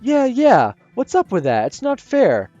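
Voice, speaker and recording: A man voicing an excitable, dramatic teenage girl from a visual novel, whining and gushing. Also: very high-pitched, measured pace, no room sound, voice pushed up, full character voice. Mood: whiny gushing. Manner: loud, whiny, gushing. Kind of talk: reading a visual novel aloud. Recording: slightly muffled with soft music.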